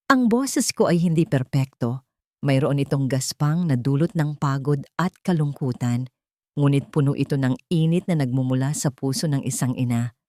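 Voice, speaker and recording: A woman with a Filipino accent narrating a dramatic first-person story in measured, solemn, deliberate phrases. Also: sits low, a little mellow, no echo, studio quality. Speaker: audiobook narrator.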